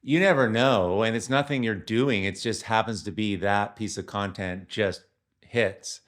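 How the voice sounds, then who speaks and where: A man's voice: on the low side, a little slow, a bit bright. A man hosting a casual interview, encouraging his guest and agreeing often, with frequent fillers. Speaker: podcast host.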